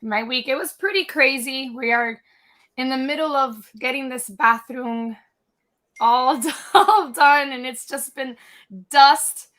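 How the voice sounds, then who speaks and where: A woman's voice: high voice, slow pace, fairly bright tone. A woman hosting a casual podcast, upbeat and gushing, her voice a little cracky as she loses it. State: losing her voice, a bit cracky. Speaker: podcast host.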